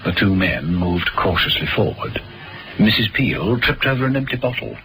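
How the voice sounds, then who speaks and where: A man's voice: lowish voice, expressive, normal speed. A man reading scripted dramatic narration for a vintage radio adventure serial. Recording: noisy vintage radio recording.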